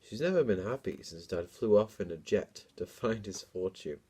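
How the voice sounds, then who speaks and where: A man's voice: lowish voice, animated, moderate pace, dark tone, husky. A young man doing a dramatic reading of a story, breaking into casual, self-mocking asides. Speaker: comedian reading own childhood book.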